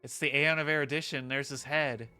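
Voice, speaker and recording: Bright-voiced man streaming a game. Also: medium pitch, steady tone. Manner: joking, halting, says "like" a lot. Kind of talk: casual live reaction commentary.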